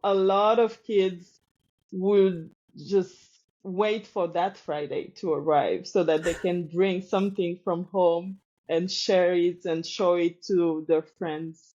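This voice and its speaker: A woman from Congo with a Congolese French accent, a teacher talking thoughtfully in a podcast interview, pausing and restarting often. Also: lowish voice, steady tone, dark tone, muffled recording. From Democratic Republic of Congo. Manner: thoughtful, halting with many restarts. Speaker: teacher guest.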